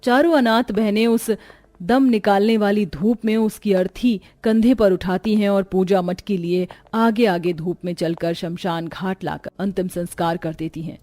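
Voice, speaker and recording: A woman's voice: medium pitch, steady tone, unhurried, warm tone, no room sound. A woman giving scripted narration for a cartoon story.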